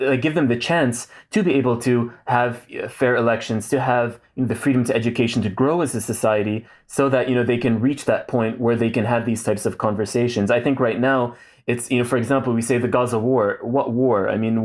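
A man's voice: medium pitch, fairly even intonation, brisk. Hesitant man with frequent fillers. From Saudi Arabia. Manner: thoughtful, hesitant, many fillers and restarts. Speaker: guest. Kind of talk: casual interview talk.

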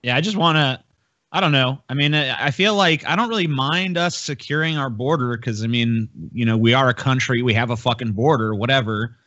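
A man's voice: medium pitch, a little fast, bright, forceful tone, no room sound. Rambling, sweary man, dry dull audio. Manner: rambling, heavily profane. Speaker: podcast panelist. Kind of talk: casual chat about politics.

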